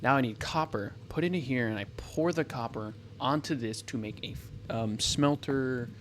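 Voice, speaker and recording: A man talks casually over his gameplay at a middling pitch, thinking aloud as he plays. He rambles and keeps stopping to correct himself. Faint noise sits under the voice. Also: moderate pace. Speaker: gameplay commentator. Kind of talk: casual let's-play commentary.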